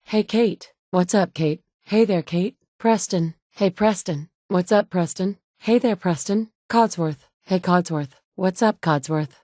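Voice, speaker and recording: A woman's voice: on the low side, mellow, no room sound, studio quality, close to an everyday voice. A woman playing a blunt, tough survivor hero. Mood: firm. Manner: curt and assertive, quick to snap back. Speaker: player character. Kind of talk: game voice lines.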